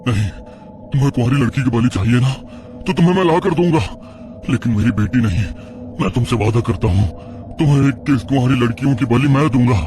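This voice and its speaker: A man's voice: medium pitch, brisk, noisy background, voice pushed up, close to an everyday voice. Man acting a desperate, agitated village sorcerer. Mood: panicked pleading. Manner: agitated, pleading, dramatic. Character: desperate village sorcerer, anxious father of a daughter. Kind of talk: Hindi horror audio drama acting.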